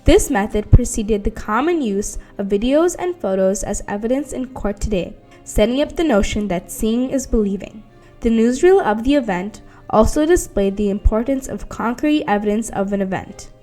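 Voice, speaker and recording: A woman's voice: on the high side, expressive, normal speed, dark tone. A woman reading scripted documentary narration. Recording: music under the voice. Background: background music.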